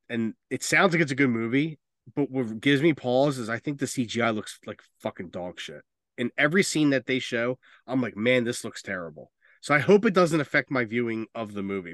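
A man's voice: mid-range voice, a bit bright, no echo. A man hosting a casual movie podcast, talking informally and bluntly, with strong opinions and plenty of swearing. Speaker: podcast host.

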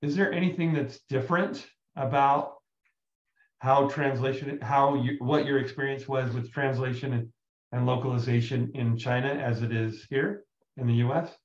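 Halting male host in a roomy dull recording. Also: medium pitch, fairly even intonation. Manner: halting, restarts his questions. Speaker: interview host. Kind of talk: casual interview questions.

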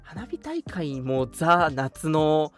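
A man's voice: a little high, very animated, drawn-out words. Young man chatting casually over music. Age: young adult. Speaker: radio show host. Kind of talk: casual radio chat. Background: background music.

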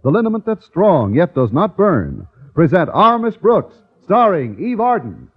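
A man's voice: high voice, sing-song, measured pace, a crowd in the background. A man announcing in an emphatic, formal, salesmanlike 1950s radio style. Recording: tinny, narrow-band old radio recording.

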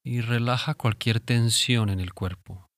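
A man leading a guided relaxation meditation in a calm, soothing, instructive way with long pauses. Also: medium pitch, dry recording, clean studio sound. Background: music.

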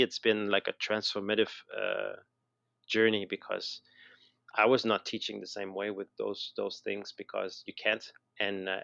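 A middle-aged man with a Quebec French accent tells his story in a casual interview, halting and often repeating words mid-sentence. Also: lowish voice, creaky, fairly bright tone, dry recording, highs cut off. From Montreal, Canada. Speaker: interview guest, educator and designer.

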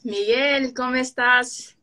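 A woman's voice: high voice, fairly even intonation, takes it slowly, sharp tone, slight background noise. A woman from Brazil with a Uruguayan-Argentine Spanish accent and Portuguese as her first language, hosting a casual live-stream chat. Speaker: host.

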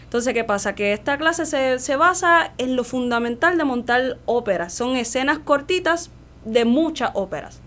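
A young Puerto Rican woman with a higher voice, the music student being interviewed on a local program, recounting things with animated emphasis. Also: moderate pace. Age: young adult. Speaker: guest, classical soprano and voice student. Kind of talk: casual interview chat.

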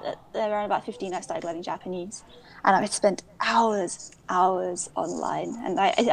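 A woman's voice: mid-range voice, gravelly. Chatty young woman. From United Kingdom. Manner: chatty and digressive, many restarts. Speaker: guest. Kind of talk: casual interview chat.

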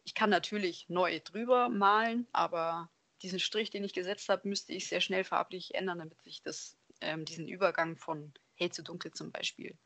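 A woman's voice: lowish voice, fairly even intonation, moderate pace, few pauses, a bit bright, no echo. A woman speaking as a podcast guest, explaining her work in a casual, conversational way. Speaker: podcast guest, hobby painter. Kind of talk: casual conversational interview answers.

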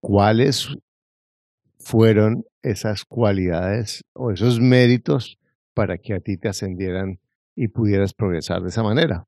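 A man's voice: on the low side, unhurried, a little mellow, no echo, studio quality. A man hosting an interview, asking probing questions with frequent restarts. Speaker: podcast host.

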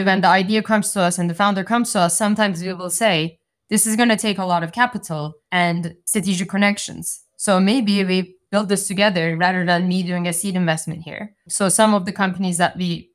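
A woman from Turkey, a venture capital partner, giving structured, articulate answers in an interview. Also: on the low side. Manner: articulate, structured explanations with frequent "so" and "you know".

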